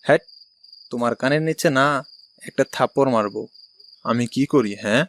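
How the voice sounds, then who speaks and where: A man's voice: mid-range voice, normal speed, lightly acted. A man voicing an ice cream seller and husband in a cartoon story. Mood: irritated, scolding. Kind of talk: Bengali cartoon dialogue.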